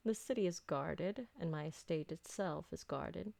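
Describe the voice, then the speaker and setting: A woman playing an elegant, possessive vampire noblewoman in a roleplay ASMR drama, speaking formally with deliberate diction and doting on her servant. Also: fairly low pitch, creaky, a little mellow, no room sound. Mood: worried.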